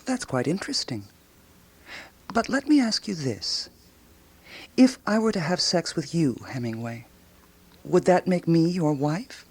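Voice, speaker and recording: Radio drama intellectual: self-assured woman, husky low voice with swooping intonation, musing and inquisitive. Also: animated, a little mellow, heavily acted. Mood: probing. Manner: musing, probing, deliberately questioning.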